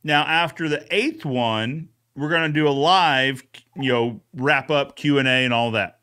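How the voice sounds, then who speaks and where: Bright, clear-voiced man hosting a recap. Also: medium pitch, unhurried. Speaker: host of a podcast recap show. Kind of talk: casual recap chat.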